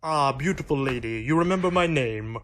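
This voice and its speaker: A man playing a flattering, self-deprecating society playboy in a radio mystery play, with a florid, theatrical manner. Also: mid-range voice, normal speed, a little hiss or hum, natural voice. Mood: flirtatious. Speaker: actor in an amateur radio play. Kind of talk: radio mystery drama acting.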